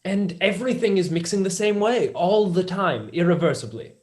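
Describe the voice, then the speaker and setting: Young male scientist for stage drama, played by a man; earnest, explanatory, animated pitch. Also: moderate pace. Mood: earnest, absorbed. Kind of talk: staged play reading.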